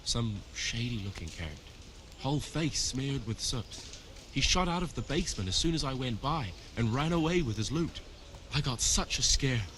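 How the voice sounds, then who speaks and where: A man's voice: mid-range voice, expressive, moderate pace, a bit bright, a little hiss or hum, natural voice. A man acts a simple village fellow who has lost nearly everything, for a video game. His earnest voice rises and falls widely over faint outdoor ambience, with a humble, grateful temper. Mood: rattled. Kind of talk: video game dialogue acting.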